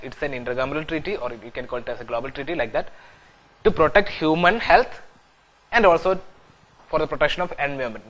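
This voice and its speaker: A man's voice: on the high side, a bit bright, rough recording. A young man teaching an exam-prep class, repeating key points with frequent "okay" and "so", recorded in a studio classroom. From India. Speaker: civil services exam teacher. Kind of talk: classroom lecture on video. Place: indoor classroom studio with whiteboard screen.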